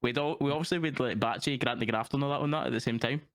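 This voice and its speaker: A man's voice: mid-range voice, fast, fairly bright tone, dry recording, studio quality. A young man with a Glaswegian accent, chatting casually as a guest, swearing and laughing, calling everyone mate. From Scotland. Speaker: guest, roleplay streamer. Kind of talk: casual chat.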